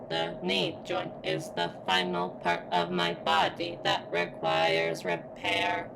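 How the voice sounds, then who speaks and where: A woman playing a formal, vulnerable, flirtatious sentient surveillance robot in an ASMR roleplay, with stilted, halting phrasing and a pained strain. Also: rumbling low, creaky, background noise, heavily acted.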